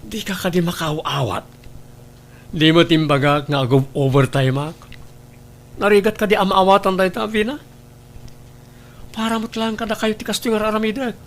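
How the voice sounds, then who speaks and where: A man's voice: high voice, normal speed, pauses often, slight background noise. A man playing an evasive, defensive husband and father in an Ilocano family radio drama, making insistent excuses. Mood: defensive, irritated.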